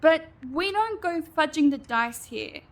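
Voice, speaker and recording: Actress as feisty gamer girl cleric, really high voice, takes her time, blunt, comedy audio play. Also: animated, measured pace. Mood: scolding. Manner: blunt, emphatic. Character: feisty, blunt female tabletop player of a cleric. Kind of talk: comedy audio drama acting.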